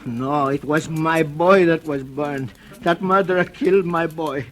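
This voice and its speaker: An actor playing a grim, vengeful old blind and deaf cobbler, narrating his tale slowly in a 1940s radio drama. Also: on the high side, a bit bright. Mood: bitter. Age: older. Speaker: narrator and lead character. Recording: old radio recording with music bed.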